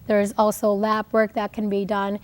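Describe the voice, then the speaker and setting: A woman presents educational material in an informal way. Her voice sits at a medium pitch and is husky from laryngitis, as a co-host explaining her field. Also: completely flat delivery, moderate pace. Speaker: co-host, acupuncturist and yoga instructor.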